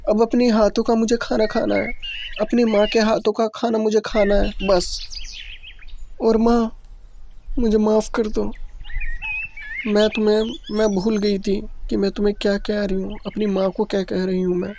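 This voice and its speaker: A woman's voice: mid-range voice, level tone, moderate pace, mellow, slight background noise, lightly acted. A woman playing a remorseful young schoolgirl daughter in a cartoon story, pleading emotionally. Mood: apologetic, pleading.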